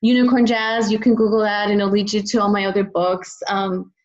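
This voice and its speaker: A woman's voice: medium pitch, little pitch movement, a little fast, a little mellow, lo-fi sound. A woman speaking as a podcast guest, reflecting in an earnest, encouraging, conversational way. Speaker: podcast guest, children's book author. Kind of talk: conversational interview, personal reflection.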